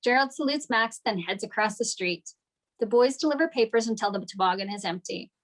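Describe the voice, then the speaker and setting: A woman's voice: a little high, lively intonation, fairly quick. A woman narrating the stage directions of a screenplay at a table read.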